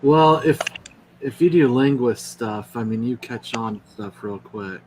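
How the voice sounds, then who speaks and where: A man who is a guest artist, chatting casually on a livestream in a friendly, halting way. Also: medium pitch, darkish tone, a little hiss or hum, rough recording.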